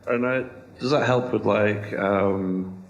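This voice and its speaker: A man's voice: fairly low pitch, fairly even intonation, slow, reverberant, lo-fi sound. A man hosting an interview, asking questions hesitantly with many fillers. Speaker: podcast host.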